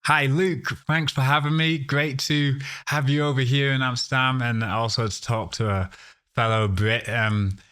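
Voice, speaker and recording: A man from Ghana and the UK, answering conversationally as a podcast guest, with halting restarts. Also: mid-range voice, fairly even intonation, a bit bright, clean tone. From Ghana, later the UK. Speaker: podcast guest, sustainability professional.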